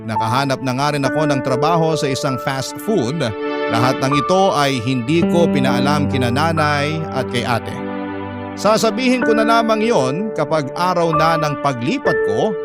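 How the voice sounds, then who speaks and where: A man's voice: on the high side, lively intonation, normal speed, lightly acted. A Filipino man reading a troubled young student's first-person letter as scripted drama narration. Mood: earnest, sorrowful. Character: troubled young student confiding a family story. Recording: loud music bed.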